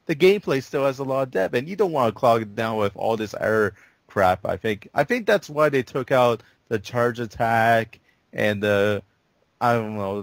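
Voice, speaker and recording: Casting note man, gravelly mid voice, eager game review chatter, dry mic. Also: medium pitch, dry recording. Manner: enthusiastic, self-deprecating asides. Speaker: co-host of a game review show. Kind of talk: casual gaming discussion.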